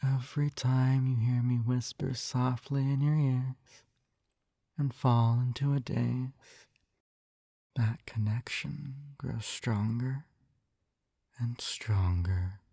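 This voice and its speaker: Slow, mellow man playing a possessive hypnotist captor. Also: mid-range voice, fairly even intonation, gravelly, dry recording, clean studio sound. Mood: calm, hypnotic. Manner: slow, coaxing, teasing, drifting into whispers. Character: possessive yandere hypnotist man, coaxing, smugly tender captor. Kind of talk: intimate hypnosis roleplay audio, ASMR.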